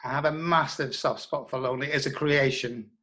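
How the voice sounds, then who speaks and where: A man answering as an interview guest, reflecting in a thoughtful, halting and emphatic way. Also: medium pitch, fairly even intonation, sharp tone.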